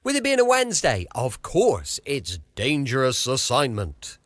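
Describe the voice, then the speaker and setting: A man's voice: mid-range voice, wildly swooping pitch, measured pace, a bit bright. A British man hosting a podcast, introducing it in a chatty, informal and jokey way. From southwest of the United Kingdom. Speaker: podcast host.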